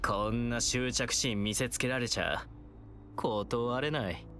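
An actor playing a taunting, playful and menacing young male villain in a video game, with a theatrical, teasing, drawn-out delivery. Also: mid-range voice, fairly even intonation, sharp tone, a little hiss or hum. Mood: amused, menacing.

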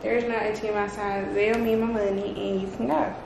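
A woman's voice: medium pitch, level tone, brisk. A woman, chatting casually in a blunt, self-assured way as a stylist at work indoors. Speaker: hairstylist and makeup artist. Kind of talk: casual chat. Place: small room indoors, off camera. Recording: distant echoey mic, clearly noisy room.

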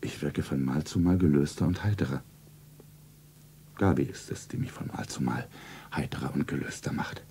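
Ein Schauspieler spielt in einem Hörspiel einen reichen, lebensmüden Geschäftsmann als zärtlichen, feierlich sentimentalen Liebhaber. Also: male voice, very deep voice, moderate pace, gravelly, long pauses, dark tone, husky, heavily acted. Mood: tender. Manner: sentimental, solemn, self-narrating. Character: rich, world-weary businessman, solemnly tender lover. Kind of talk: German radio play acting.

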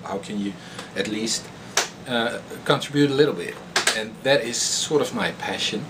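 A man's voice: mid-range voice, moderate pace. A man in his thirties from the Netherlands with a Dutch accent, a researcher informally introducing himself to camera in a laboratory. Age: 30s. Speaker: postdoctoral researcher. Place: indoors in a laboratory. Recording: clear steady room noise in lab.

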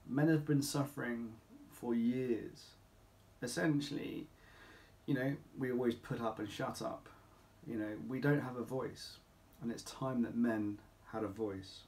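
Creaky-voiced middle-aged male doctor. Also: medium pitch, steady tone, a little mellow. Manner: emphatic, deliberate, with rhetorical questions. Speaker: doctor at a men's health clinic. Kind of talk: informal explainer talk to camera. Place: small home office or consulting room, indoors.

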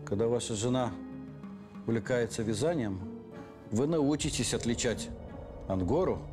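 An actor playing a methodical prosecutor's investigator who questions witnesses in a measured, dry, ironic way, in a crime reenactment. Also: male voice, mid-range voice, natural voice. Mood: shrewd. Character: shrewd, methodical male investigator, calm and probing. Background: background music.

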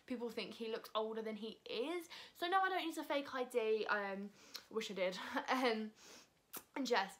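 A teenage British girl talks straight to the camera from her sofa at home. She speaks in a fairly high, chatty voice, full of "like" and "um". Her delivery is casual and animated. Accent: British English. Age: teens. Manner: chatty, animated hand gestures, frequent "like" and "um". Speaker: YouTuber. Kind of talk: casual vlog answering viewer assumptions. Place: living room, seated on a sofa indoors. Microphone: camera in front at close range.

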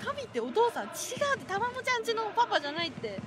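A woman livestreamer chatting excitably as she comments on a video game, often repeating her phrases. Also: extremely high pitch, fast talker, over a noisy crowd, very noisy. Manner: excitable, talks to players and viewers, repeats phrases. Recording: game music behind the voice. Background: baseball video game music.

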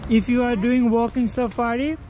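A man's voice: very high voice, fairly even intonation, moderate pace, dark tone, poor audio. A Nepali man with a Nepali accent, a tour guide hosting a travel vlog in an outdoor garden café and telling viewers what he is doing. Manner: upbeat, tells viewers what the group is doing. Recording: outdoor street noise behind.

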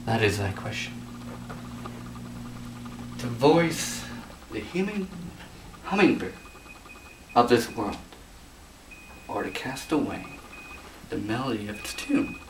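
A young man playing a hesitant amateur singer in a student parody of a Shakespearean soliloquy, speaking in a halting, theatrical way. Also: medium pitch, animated. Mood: brooding. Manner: theatrical, halting, deliberate delivery. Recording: echoey room, distant mic, background hiss.

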